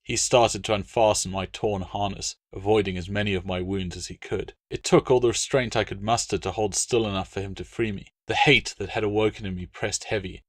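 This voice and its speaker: A man reading scripted first-person novel narration as an audiobook, in a measured, dramatic storytelling manner. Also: fairly low pitch, dry recording.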